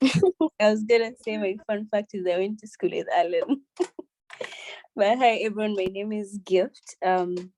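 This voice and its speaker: A woman from Kenya, introducing herself in a relaxed, giggly way on a video call. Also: mid-range voice, measured pace. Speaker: social entrepreneur.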